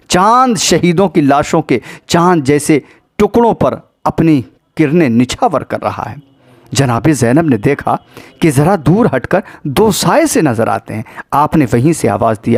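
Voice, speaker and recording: A man tells a sacred tragedy in a grieving, emotive sermon style. His voice sits a little high and is captured very dry, with no room around it. He lingers in pauses and repeats phrases for weight. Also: dry recording. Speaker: religious orator narrating the Karbala tragedy. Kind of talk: mournful majlis sermon, dramatic storytelling with recited verse.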